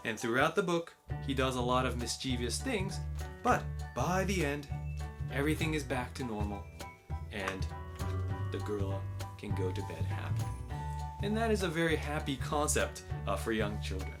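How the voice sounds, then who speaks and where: A man with an American accent explaining and recommending in a clear, instructive way, using simple wording, as host of a children's learning channel. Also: medium pitch, very animated, normal speed, over loud music. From United States. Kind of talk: explanatory book recommendation and picture-book read-aloud.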